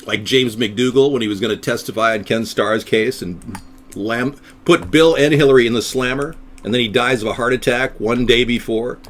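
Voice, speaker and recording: A man's voice: medium pitch, moderate pace, a bit bright, slight background noise. A middle-aged man from the United States rambling sardonically as he hosts his own live stream for viewers. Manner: rambling, sardonic, slips into silly voices. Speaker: third-party candidate hosting a live stream.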